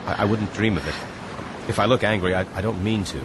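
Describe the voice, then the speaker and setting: An actor playing an earnest, considerate gentleman castaway in a radio drama, with a mild, hesitant, courteous manner. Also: fairly low pitch, quick pace, lightly acted. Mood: apologetic. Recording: noisy boat and water ambience behind.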